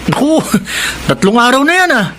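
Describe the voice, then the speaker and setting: An animated, smooth-talking street thief. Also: male voice, very high-pitched, moderate pace, slight background noise, exaggerated voice. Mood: concerned. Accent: Filipino. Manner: persuasive, easygoing. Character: coaxing, friendly older-brother male thief recruiting children. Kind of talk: radio drama acting.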